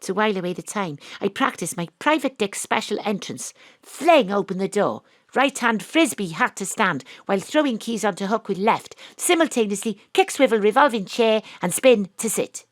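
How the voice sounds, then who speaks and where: A woman's voice: medium pitch, expressive, normal speed, few pauses, no room sound, clean studio sound, natural voice. An actress playing a brash, wry Welsh private detective with a Welsh accent, narrating her own story. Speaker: narrator and lead.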